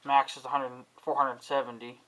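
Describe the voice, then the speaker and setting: Rambling, cussing man with a bright voice. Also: mid-range voice, little pitch movement, moderate pace, rough recording. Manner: rambling, swears often, frustrated. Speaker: vlogger. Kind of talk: casual talking to camera about gear.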